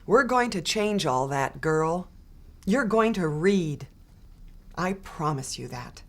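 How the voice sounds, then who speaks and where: A woman voicing a kind male schoolteacher in a storybook, speaking softly and tenderly. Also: on the low side, animated, faint music behind, full character voice. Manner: soft, tender, slow-spoken.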